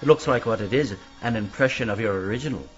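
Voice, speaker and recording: An actor playing a guarded, dry-witted career criminal in a radio mystery, speaking tersely. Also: male voice, mid-range voice, fast talker, lightly acted. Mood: dry, businesslike. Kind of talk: radio mystery drama acting. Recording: dull band-limited audio with music.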